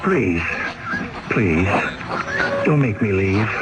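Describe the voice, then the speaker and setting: A haunted, desperate stranger in a radio play. He pleads haltingly at a slow pace, his pitch swinging, over loud music. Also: expressive, low-quality recording. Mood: desperate pleading. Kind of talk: radio drama acting.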